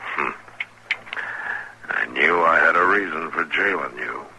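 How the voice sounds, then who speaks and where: A stern lawman of the Old West in a radio western, with an extremely deep voice. He speaks in terse, dry, measured phrases. The old recording has clear noise over it. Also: sharp tone, full character voice, rough recording. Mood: dry, probing.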